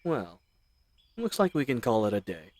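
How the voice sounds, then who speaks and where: A man's voice: mid-range voice, wildly swooping pitch, normal speed. A man playing a chatty, roguish bandit leader in an audio roleplay, with folksy slang and teasing mock courtesy. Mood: mocking, breezy. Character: roguish, chatty, friendly male bandit leader, mockingly polite. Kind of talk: scripted audio roleplay addressed to the listener.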